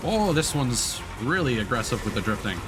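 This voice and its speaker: A man giving casual live commentary as a video game streamer, thinking aloud in a halting way. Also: medium pitch, sing-song, background noise. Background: game music and vehicle sounds.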